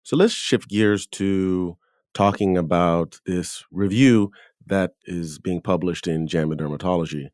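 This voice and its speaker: A man's voice: sits low, fairly even intonation, measured pace, a little mellow, dry recording, studio quality. A man hosting a podcast, reading scripted introductions and putting questions to a guest. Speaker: podcast host and interviewer.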